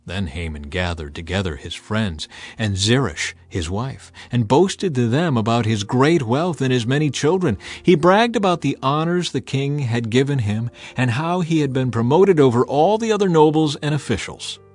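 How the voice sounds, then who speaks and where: A man's voice: medium pitch, animated, normal speed, darkish tone. A man narrating a dramatized scripture audiobook in an emphatic, theatrical storytelling manner. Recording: music bed behind the voice.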